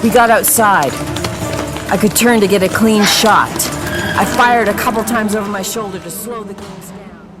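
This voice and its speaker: An actress playing a tough, protective police detective in a hard-boiled audio drama. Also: female voice, mid-range voice, normal speed, music in the background, lightly acted. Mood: brooding.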